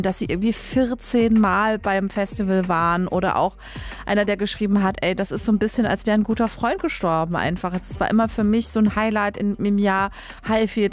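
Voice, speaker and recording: A woman from Leipzig, Germany, hosting a radio music show in a casual, chatty, colloquial way. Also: mid-range voice. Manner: chatty, colloquial, trailing tag questions. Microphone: close studio radio microphone.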